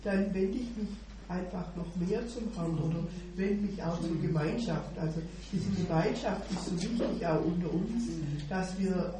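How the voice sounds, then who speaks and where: A woman's voice: lowish voice, normal speed, few pauses. A woman sharing at a church meeting, hesitant, with many fillers. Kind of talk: informal devotional sharing. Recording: echoey room mic from far off with background noise.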